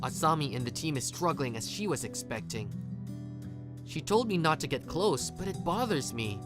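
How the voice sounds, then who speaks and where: A woman playing a timid but loyal introverted high school boy in a manga dub, earnest and hesitant. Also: animated, moderate pace, music in the background. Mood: reflective narration. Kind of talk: manga dub dialogue and first-person narration.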